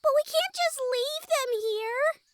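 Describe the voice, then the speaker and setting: A woman playing an excitable, kind-hearted young female harpy in an audio roleplay, with an eager, girlish, bubbly way of talking. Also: very high voice, slow, background noise. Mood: concerned, pleading.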